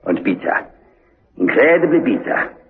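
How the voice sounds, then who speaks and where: An actor playing a bitter, resolute prisoner in a radio drama, speaking in a deliberate, theatrical, taunting way. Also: male voice, medium pitch, sing-song. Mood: bitter, composed. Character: bitter, resolute male prisoner, menacing ringleader, slyly taunting. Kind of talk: 1960s-spy radio drama acting. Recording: muffled, lo-fi radio recording.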